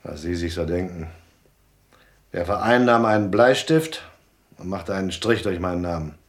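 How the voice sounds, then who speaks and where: An actor playing a tough but anxious gangster dropout in a German radio drama, speaking in a guarded, terse way. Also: male voice, deep voice, moderate pace, lightly acted. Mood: resigned, uneasy.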